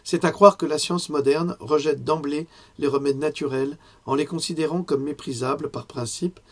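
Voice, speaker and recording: A hoarse man giving a lecture in a conversational way, often pausing and correcting himself. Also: fairly high pitch. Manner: conversational, with frequent fillers and self-corrections. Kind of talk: health lecture with personal asides.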